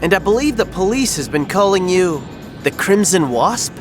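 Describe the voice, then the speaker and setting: A man's voice: high voice, lively intonation, normal speed, over music, pitched above the performer's own voice. A man voicing a determined young male superhero in armor, speaking in an urgent, heroic way. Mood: cocky.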